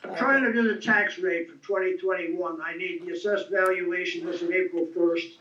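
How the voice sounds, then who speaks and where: High-voiced man on a dull, echoey line. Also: fairly even intonation, moderate pace, sharp tone, far from the mic. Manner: methodical, precise. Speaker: committee member on a call. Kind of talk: making requests at a meeting. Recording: dull, echoey remote audio.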